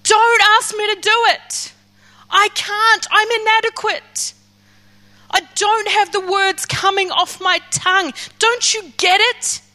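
A woman's voice: very high voice, steady tone, slow pace, sharp tone. A woman preaching a passionate sermon, voicing Moses's pleas in the first person. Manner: impassioned, acts out Moses pleading in first person.

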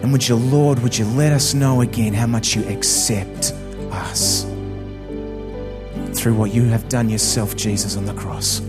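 A man leading a prayer in church, slow and earnest. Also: mid-range voice, fairly even intonation. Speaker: prayer leader. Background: soft new-age music.

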